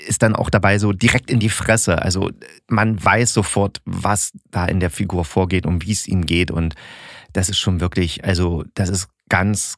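A man's voice: deep voice, no room sound, studio quality. A man in a casual, informed discussion as a podcast co-host, colloquial and repeating words for emphasis.

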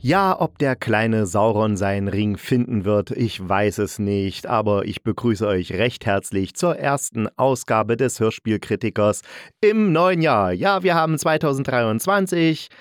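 A man's voice: on the low side, expressive, unhurried, no echo. Chatty man podcasting in a dry room. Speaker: podcast host and audio drama critic. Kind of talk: solo podcast presentation, conversational.

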